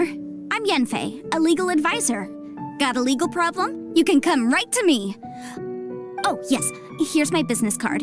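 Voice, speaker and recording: A woman playing a perky, talkative young legal advisor in a video game, articulate and businesslike. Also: high-pitched. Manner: brisk, articulate, self-promoting patter. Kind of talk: scripted video game acting.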